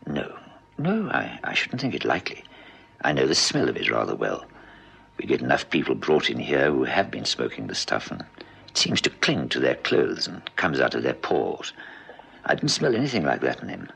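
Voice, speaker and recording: Very deep, gravelly doctor. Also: male voice, wildly swooping pitch, normal speed, fairly bright tone, exaggerated voice. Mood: firm, clinical. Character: brisk male hospital doctor, weary, dryly facetious. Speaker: actor in a radio police drama. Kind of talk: old South African radio drama acting.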